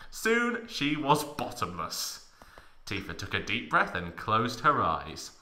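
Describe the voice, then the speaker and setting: A man's voice: medium pitch, bright, forceful tone, echoey room. A young man giving a theatrical, mock-dramatic reading of a story as a comic narrator, with exaggerated emphasis. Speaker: podcast narrator.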